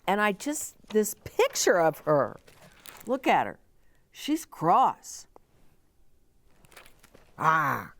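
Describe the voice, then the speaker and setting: A woman's voice: on the low side, wildly swooping pitch, extremely slow, pauses often, fairly bright tone. A middle-aged woman reading a children's story aloud, expressively, with chatty asides explained simply as if to young children.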